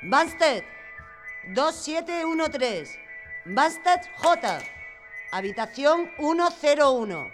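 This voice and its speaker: Woman playing a very slow, cold prison official. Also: high voice, big pitch swings, heavily acted. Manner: slow, formal announcing. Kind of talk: radio drama acting. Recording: prominent music behind the voice.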